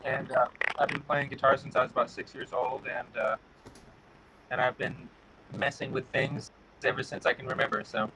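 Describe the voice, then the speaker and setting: A middle-aged man from Connecticut answering interview questions in a relaxed way, pausing often with fillers. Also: medium pitch, slight background noise, poor audio. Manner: relaxed, pauses with many "uh" fillers. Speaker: guest, guitar builder.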